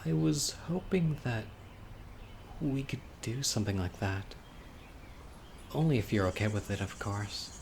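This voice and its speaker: Man acting a sickly, tender yet obsessive young boyfriend, audio roleplay, very slow pace, hesitant soft delivery, faint birds and insects behind. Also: on the low side, creaky, a little hiss or hum. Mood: eager, shyly tender. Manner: hesitant, soft-spoken, with muttered whispered asides. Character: gentle, eager, critically ill young man, secretly obsessive date. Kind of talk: roleplay audio drama, intimate boyfriend monologue. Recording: outdoor nature ambience, birds and insects. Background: birdsong, crickets and insects.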